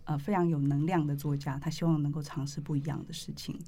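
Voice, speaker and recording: Middle-aged Taiwanese woman with a creaky voice. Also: low voice, flat delivery, quick pace, mellow. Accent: Taiwanese Mandarin. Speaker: podcast host, publishing editor-in-chief. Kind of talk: conversational interview with reflective storytelling.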